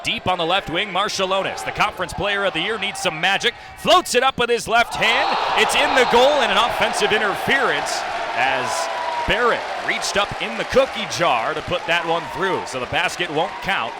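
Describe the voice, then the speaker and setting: Young male radio play-by-play announcer, fairly high-pitched, descriptive and excitable, calling basketball from a noisy arena. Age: young adult. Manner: rapid descriptive calls, rising to excited shouts on big plays. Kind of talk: live basketball play-by-play commentary. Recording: noisy arena broadcast with bouncing balls. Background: loud game noise, basketball bounces.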